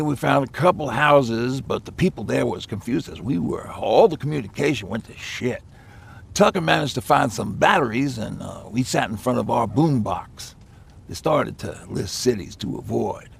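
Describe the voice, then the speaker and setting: An American man playing a weary survivor of nuclear war in a game, recounting it in plain, halting, profane words. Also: medium pitch, creaky, husky, full character voice. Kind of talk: naturalistic game acting, recounting past events.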